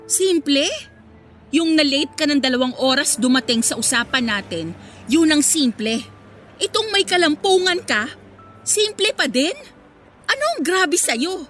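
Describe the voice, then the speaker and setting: Radio drama role: betrayed young woman, high-pitched, biting sarcasm, soft music behind. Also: animated, measured pace, pauses often. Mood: angry, incredulous. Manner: sarcastic, cutting. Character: hurt, sarcastic young woman confronting cheating boyfriend. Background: faint background music.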